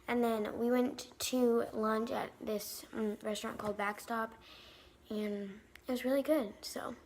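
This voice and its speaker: A woman's voice: a little high, slight background noise. A teenage girl chatting casually in a vlog, full of "like" and "um" fillers, filmed on a handheld phone. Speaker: vlogger. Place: home and outdoors, garden trampoline.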